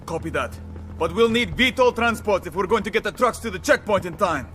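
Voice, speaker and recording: Urgent, fast-talking army lieutenant. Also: male voice, high-pitched, expressive. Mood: firm, urgent. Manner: urgent, commanding, forceful. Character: urgent, determined male army lieutenant in battle. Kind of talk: video game cutscene acting. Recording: loud music and vehicle noise behind.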